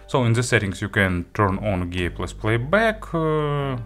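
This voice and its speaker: A man presenting a product review, walking through features item by item in halting, non-native phrasing. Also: on the low side, very animated, a bit bright, faint music behind. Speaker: audio gear reviewer.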